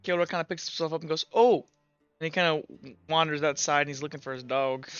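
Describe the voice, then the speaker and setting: A man's voice: fairly high pitch, bright, forceful tone, clear, resonant tone, dry recording. A young man narrating a tabletop game as game master, in a loose, hedging way full of fillers. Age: young adult. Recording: dull lo-fi mic.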